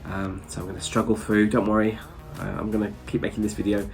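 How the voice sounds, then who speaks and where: Congested, low-voiced man chatting. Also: little pitch movement, fast talker, creaky, soft background music. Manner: chatty, rambling, with chuckles. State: congested from hay fever. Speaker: travel vlogger. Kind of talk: casual chat to camera.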